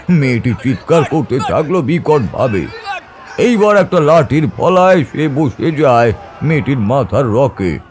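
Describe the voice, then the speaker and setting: A man's voice: mid-range voice, darkish tone. A man giving a dramatic, suspenseful scripted narration of a horror story in a theatrical manner.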